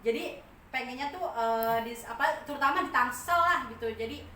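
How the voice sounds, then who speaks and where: A woman's voice: high voice, brisk, bright, forceful tone. A woman, speaking informally as a business owner explaining her products in an interview. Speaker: food business owner, podcast guest. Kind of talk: casual promotional interview talk. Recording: echoey room, distant mic, faint noise.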